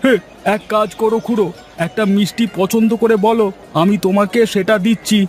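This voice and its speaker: A man performs a generous cartoon shopkeeper from a village. His voice sits high, with a chatty, familiar, folksy delivery and faint music behind it. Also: flat delivery, a little fast, darkish tone, natural voice. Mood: chummy. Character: chummy, generous village sweet-seller in a cartoon. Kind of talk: cartoon voice acting.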